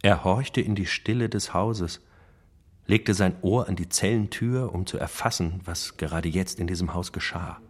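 A man narrating a literary radio play in an expressive, deliberate, theatrical manner. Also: sits low, natural voice.

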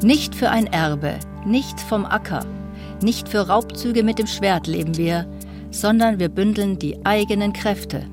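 A woman performing an earnest radio-play narrator of a literary manifesto. She recites in separate, declamatory phrases at a middling pitch. Plucked guitar music accompanies her. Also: measured pace, lightly acted.

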